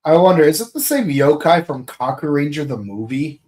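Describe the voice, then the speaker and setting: A man co-hosting a podcast, chatting casually and joking as he rambles and thinks out loud. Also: fairly high pitch, very animated, brisk. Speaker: podcast co-host. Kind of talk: casual chat about a TV series.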